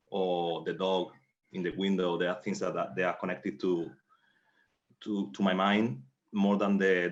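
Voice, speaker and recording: Male playwright, Spanish accent, low flat voice, halting reflective answers, slightly dull audio. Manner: halting, searching for words. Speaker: playwright on a panel.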